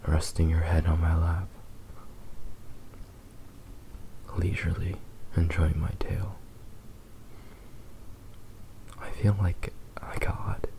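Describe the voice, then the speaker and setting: A man acts out a tender fox-spirit husband in an intimate ASMR roleplay, utterly devoted and a little possessive. His voice sits very low, with a gravelly creak under a soft, breathy half-whisper. He talks in a hushed, unhurried way, keeping the melody narrow and gentle. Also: heavily acted. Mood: adoring. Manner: slow, hushed, intimate, lingering pauses.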